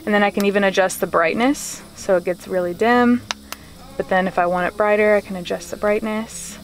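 A young woman in her twenties giving a casual tour of her small converted van, chatty and full of "like" and "um", filmed on a handheld camera. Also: mid-range voice. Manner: chatty, lots of "like" and "um". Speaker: vlogger. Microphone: handheld wide-angle camera.

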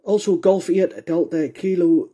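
A Scottish man makes contact as an amateur radio operator. His voice is high for a man, very soft and dark, with a husky edge. He is polite and slightly hesitant, using procedural radio talk. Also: fairly even intonation, a little slow. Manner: courteous, hesitant, spells out call signs. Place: indoor radio room.

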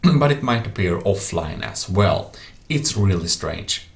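Man, low creaky voice, lively pitch movement, instructional tutorial voice-over, plain-spoken. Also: a little slow. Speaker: tutorial presenter. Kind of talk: step-by-step software tutorial narration. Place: voice-over screen recording.

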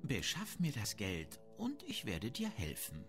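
A man's voice: mid-range voice, wildly swooping pitch, a little slow. A man playing a shrewd, grumbling, self-important town merchant in a fantasy video game. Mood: sly, bargaining. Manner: haggling, disdainful, self-important. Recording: music behind the voice.

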